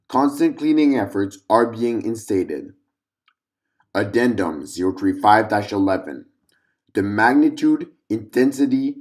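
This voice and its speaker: A man's voice: mid-range voice, a little mellow. A man narrating a scripted fictional document in a formal, clinical report-reading manner.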